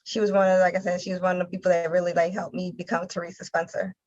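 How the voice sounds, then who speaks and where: American woman being interviewed, pretty even intonation, halting and repeats herself, kinda dull lofi audio. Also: lowish voice. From Philadelphia, United States. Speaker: interview guest, nonprofit communications director. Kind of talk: conversational interview answers.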